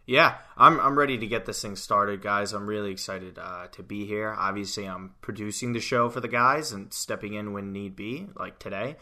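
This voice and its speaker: Young american guy hosting a soccer pod, low-ish voice but really bright and sharp, chatty. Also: normal speed, few pauses. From United States. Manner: energetic, conversational, frequent fillers. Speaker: podcast producer and fill-in host. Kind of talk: casual soccer podcast talk.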